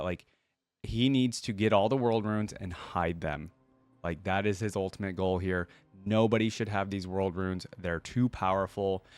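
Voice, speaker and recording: A man's voice: fairly low pitch, no room sound. A man reading stories aloud and giving casual, chatty commentary as a reaction host. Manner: informal, chatty.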